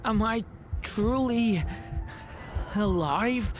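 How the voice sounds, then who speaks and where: A woman playing a weak, confused young boy in a video game, speaking in a halting, plaintive way. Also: on the high side. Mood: confused, searching. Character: weak, confused, frightened young boy made by magic, Durin. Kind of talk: dramatic video game voice acting. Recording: loud music behind the voice. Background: music.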